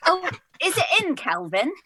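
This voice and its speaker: A woman's voice: very high voice, very animated, unhurried, bright, forceful tone, exaggerated voice. A woman acting a chatty, playful darts-playing pub regular in a radio comedy. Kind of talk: radio comedy play reading.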